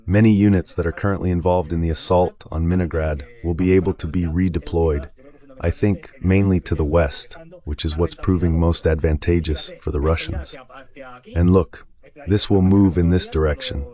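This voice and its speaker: Very deep-voiced man giving commentary. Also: fairly even intonation, moderate pace, darkish tone. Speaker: guest military analyst. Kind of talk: live war commentary read as an AI voice-over translation.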